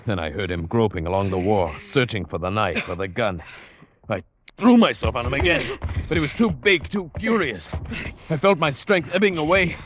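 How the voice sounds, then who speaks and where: An actor playing a tense, determined adventurer who narrates his own peril in an old radio drama, dramatic and deliberate. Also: mid-range voice, expressive, a bit bright, a little hiss or hum, natural voice. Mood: dazed, strained, then desperate. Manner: dramatic, deliberate, suspenseful delivery. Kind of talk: old-time radio drama acting, first-person narration. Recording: vintage radio recording with music behind.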